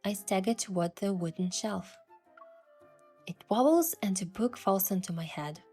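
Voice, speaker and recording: A woman voicing a curious young adventurer heroine, telling the story in the first person with earnest, expressive delivery. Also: mid-range voice, moderate pace, slightly rough. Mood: narrating, gentle. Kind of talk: scripted first-person narration and dialogue. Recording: music behind the voice.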